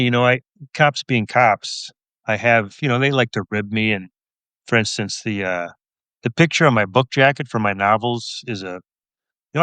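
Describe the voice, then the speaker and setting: A man's voice: lowish voice, sharp tone, no echo. A middle-aged man, a police officer and author, answering casually as an interview guest in a thoughtful, wry, self-deprecating way.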